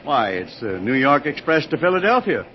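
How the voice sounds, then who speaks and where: An American actor in an old-time radio drama, playing a chatty, folksy older train passenger. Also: male voice, medium pitch, sing-song, moderate pace, natural voice. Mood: amused, friendly. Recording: dull, noisy old radio recording.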